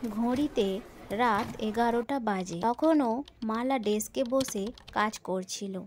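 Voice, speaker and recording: A woman with a fairly high voice narrates a scripted story dramatically. Crickets and night noise sit clearly behind her.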